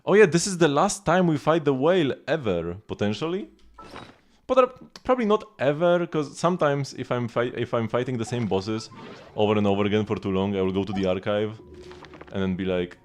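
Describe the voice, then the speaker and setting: A man's voice: medium pitch, lively intonation, fairly quick, a little hiss or hum. A man giving casual live gameplay commentary, rambling and thinking aloud as he goes. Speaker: game streamer. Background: game music.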